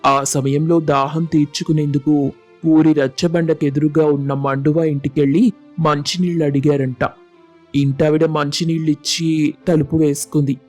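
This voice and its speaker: Honest young villager for a storybook tale, played by a man: humble, respectful, higher male pitch, very even intonation, faint music beneath. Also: moderate pace, lightly acted. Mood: earnest, explaining. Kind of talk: acted moral storybook dialogue.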